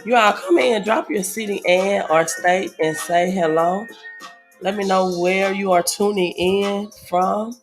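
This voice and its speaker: A woman chats casually on her livestream, her voice gravelly and a little hoarse from a cold. She talks loosely with plenty of fillers, reading out viewer comments as they come in. Faint music sits behind her. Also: mid-range voice, a little slow. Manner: chatty, reads viewer comments aloud, many fillers. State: slightly hoarse from a head cold. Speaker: livestream host.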